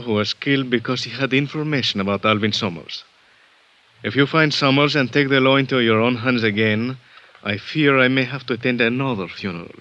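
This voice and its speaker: An actor playing a grave, formal Latin American police lieutenant in an old radio drama, speaking in measured, careful sentences. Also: male voice, mid-range voice, a bit bright, lightly acted. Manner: formal, measured, avoids contractions. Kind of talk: 1950s radio drama acting. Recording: dull vintage radio recording.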